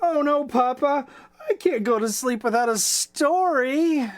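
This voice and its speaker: A man voicing an excitable, pleading little red chicken child for a storybook read-aloud. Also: very high-pitched, a little slow, pitched above the performer's own voice.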